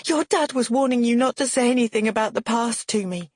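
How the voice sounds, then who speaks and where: A distraught, accusing heroine, acted out by a woman narrator in an audiobook thriller. Her voice is pushed high and husky, furious and pleading, on a close, dry recording. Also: brisk, a little mellow, no room sound, lightly acted. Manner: pleading, raised, emotional.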